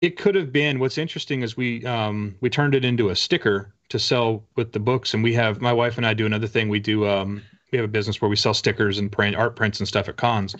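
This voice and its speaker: A man in his forties chatting casually as an interview guest, halting and wry in a self-deprecating way. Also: fairly low pitch, fairly even intonation, a bit bright. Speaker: guest, author. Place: indoor home room with bookshelves. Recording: dull, lo-fi video call audio.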